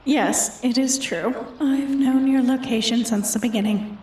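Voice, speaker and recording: A woman voicing a bored, menacing female dragon who taunts a human thief in a slow, imperious, deliberate manner for a roleplay ASMR. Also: sits high, fairly even intonation, a little mellow, reverberant, distant mic, rough recording. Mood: bored, indifferent. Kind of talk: roleplay ASMR, scripted character acting.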